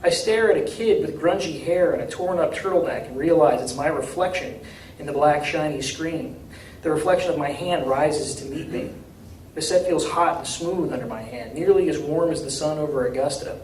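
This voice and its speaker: A man giving a live reading of literary fiction in a measured, deliberate way, recorded from a distance in a room. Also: fairly high pitch, a little mellow. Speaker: author reading from his novel. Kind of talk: live literary reading, dramatic first-person narration. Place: indoor reading at a university. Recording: distant, echoey room with faint noise.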